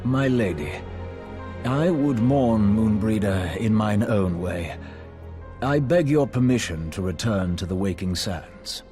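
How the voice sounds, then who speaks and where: An actor playing a solemn, grieving scholar in a video game, speaking formal, archaic, theatrical English. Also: male voice, on the low side, animated, slow, over music. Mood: subdued, mournful. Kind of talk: scripted video game drama acting, archaic diction.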